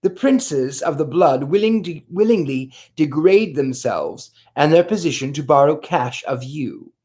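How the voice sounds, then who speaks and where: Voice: medium pitch, animated, measured pace, a little mellow, close to an everyday voice. A reader voicing a worldly, cynical aristocratic prince in a novel read aloud, speaking with composed scorn. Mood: scornful. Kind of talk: audiobook character voice.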